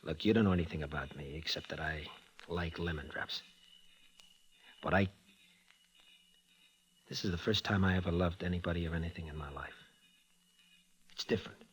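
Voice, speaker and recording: An actor with an American accent playing a slippery but lovestruck racetrack tout in old-time radio style, slangy and hesitant, with a husky voice. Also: male voice, low voice, moderate pace, long pauses, lightly acted, rough recording. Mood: tender, earnest.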